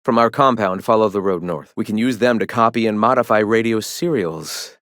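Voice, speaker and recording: Man playing a low-voiced, scholarly rebel prophet. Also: fairly bright tone, no echo, studio quality, lightly acted. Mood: calm, instructing. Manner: preachy, lofty, formal and measured. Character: zealous, scholarly male leader of a philosophical rebel movement. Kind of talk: video game character dialogue.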